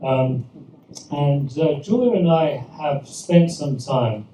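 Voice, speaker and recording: A man's voice: a little high, expressive, slow pace, warm tone, slight background noise. A British man, a pastor, telling humorous anecdotes to a church audience with frequent restarts, recorded from a distance in an echoey room. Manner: self-deprecating, anecdotal, many restarts. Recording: echoey room, mic far off, faint ambience.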